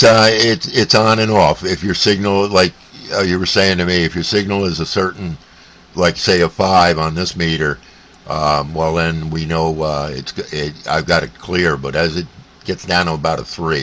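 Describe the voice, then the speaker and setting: A man chatting casually as a CB radio operator, halting and repeating radio jargon. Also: sits low. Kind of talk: casual radio contact chat. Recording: steady radio static and hiss.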